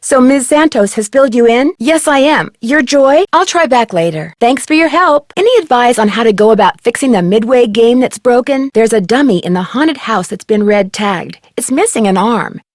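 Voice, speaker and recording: A woman's voice: on the high side, animated, moderate pace, hardly any pauses, no room sound, clean studio sound, natural voice. A woman voicing a young female amateur detective in a video game, speaking politely and conversationally, with an inquisitive, persistent manner. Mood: polite, curious. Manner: polite, inquisitive, clearly enunciated questioning. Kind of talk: video game dialogue, conversational question delivery.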